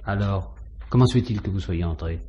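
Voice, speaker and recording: An actor playing a methodical, insistent police inspector questioning a suspect in a 1950s French radio mystery style. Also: male voice, deep voice, a little fast, lo-fi sound, noisy background, lightly acted. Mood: probing. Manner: insistent, probing, authoritative questioning.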